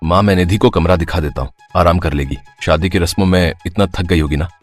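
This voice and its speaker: Deep-voiced, kind young husband, acted by a man. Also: a little fast. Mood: affectionate. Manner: gentle, earnest. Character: kind, generous young husband and son-in-law. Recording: music behind the voice.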